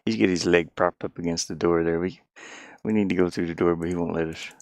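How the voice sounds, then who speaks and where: A man thinking out loud in casual livestream talk, muttering to himself as he works on a game. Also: deep voice, steady tone, a little fast, gravelly, no room sound. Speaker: indie game developer streaming.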